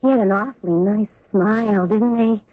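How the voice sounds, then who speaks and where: An actress playing a sweet, soft-spoken, naive young secretary in a radio drama, hesitant and artless. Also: female voice, medium pitch, normal speed, slight background noise, natural voice. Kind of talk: 1970s radio drama acting. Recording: narrow-band old radio recording.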